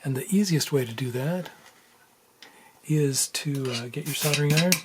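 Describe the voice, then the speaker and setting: A man's voice: on the high side, moderate pace. Man narrating hands-on work, noisy background. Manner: informal, thinking aloud while working. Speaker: tutorial host. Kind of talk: casual hands-on soldering tutorial narration. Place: indoors at a workbench. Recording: noticeable room background noise.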